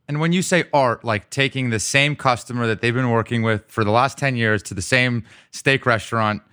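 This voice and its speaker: A man hosting a business podcast, asking methodical, measured questions of his guest. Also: mid-range voice, pushed and bright, smooth, no echo, studio quality. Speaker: podcast host.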